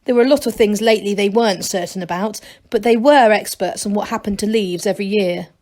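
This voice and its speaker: A woman reading a children's story aloud like a teacher, in expressive narration. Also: mid-range voice, fast.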